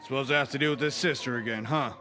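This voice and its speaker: Western radio marshal, male actor: medium pitch, world-weary, watchful, few words, music bed. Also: fast, pushed and bright, natural voice. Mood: businesslike. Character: stern, watchful, lonely Old West marshal. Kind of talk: amateur western radio play acting. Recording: student radio play with music behind.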